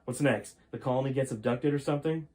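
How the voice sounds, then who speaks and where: A skeptical, wry grown man, as a man reads him aloud, questioning everything in a middle-pitched voice. The reading stays close to the reader's own voice. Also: fast talker, dark tone, close to an everyday voice. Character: skeptical adult man, a father.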